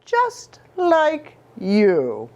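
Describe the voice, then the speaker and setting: A woman voicing an excitable little kitty boy who plays an astronaut Chihuahua, with drawn-out exclamations, for a children's storybook. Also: medium pitch, full character voice. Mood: insistent.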